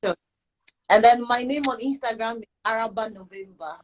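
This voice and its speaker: A young woman from Ghana, a guest in a casual live video chat, talkative and pausing to search for words. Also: fairly high pitch, rough recording. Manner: chatty, searching for words with fillers.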